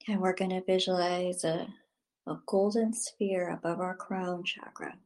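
Female meditation guide, very slow and level in delivery, soothing, hushed at phrase ends. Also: on the low side, steady tone.

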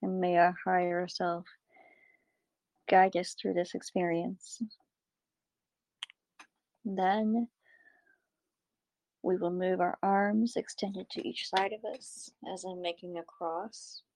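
Woman doing guided meditation, real slow w lots of pauses, bit of fry and breathy, dry close sound. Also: fairly low pitch, level tone, darkish tone, no echo, low-quality recording. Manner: measured, pausing between short phrases. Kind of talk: guided meditation, calm instruction in short phrases.

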